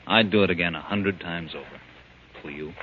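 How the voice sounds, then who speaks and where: Guy acting a low-voiced earnest hero type, old radio drama, muffled and a bit hissy. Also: fairly quick, natural voice. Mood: tender, weary. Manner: firm, earnest, commanding. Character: stoic, protective man stranded in wasteland. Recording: dull, hissy vintage radio recording.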